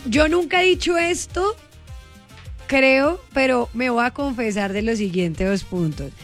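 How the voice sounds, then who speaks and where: A woman hosting a radio show, teasing and bantering in a lively, quick way. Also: on the high side, faint music behind. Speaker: radio host.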